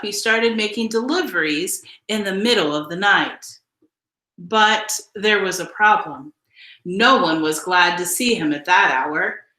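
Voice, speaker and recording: A woman reading a children's picture book aloud in an expressive, deliberate storytelling style. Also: medium pitch, roomy sound. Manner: measured, deliberate storytelling delivery with emphasized phrases. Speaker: storybook reader.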